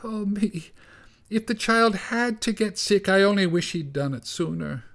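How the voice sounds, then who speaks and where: A man voicing an anxious, polite little mother field mouse, pleading in a high, wavering voice. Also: steady tone, unhurried, creaky, fairly bright tone, exaggerated voice. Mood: tearful.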